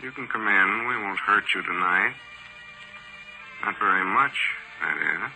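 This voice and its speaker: A man's voice: on the low side, expressive, unhurried, gravelly, bright, forceful tone, faint music behind, poor audio. A man hosting a 1940s radio mystery show in a theatrical, playfully menacing manner, on an old recording.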